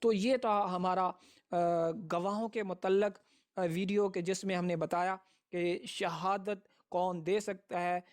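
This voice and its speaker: Slow-paced man giving a lecture. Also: sits high, a bit bright, clean tone. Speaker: advocate presenting law lessons. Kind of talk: prepared educational lecture.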